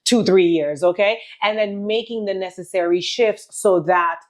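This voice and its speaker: A woman's voice: medium pitch, moderate pace. A woman from Haiti hosting a podcast, talking in an earnest, emphatic way with many restarts and repeated words. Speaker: podcast host. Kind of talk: casual heartfelt conversation.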